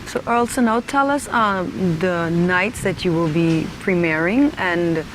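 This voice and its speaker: Woman hosting, clear background noise. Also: animated, a little slow. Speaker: talk show host. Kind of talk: casual interview questioning. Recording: noticeable hiss and rumble behind.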